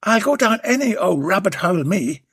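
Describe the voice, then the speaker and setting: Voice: mid-range voice, sing-song, normal speed, slightly rough, no room sound, clean studio sound, voice pushed up. A storyteller voicing a jolly, cheeky male rubbish remover who banters and teases. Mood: chirpy. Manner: chirpy, teasing banter.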